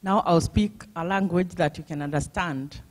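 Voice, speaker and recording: A woman's voice: on the low side, moderate pace. Kenyan woman introducing herself. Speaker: panelist parent.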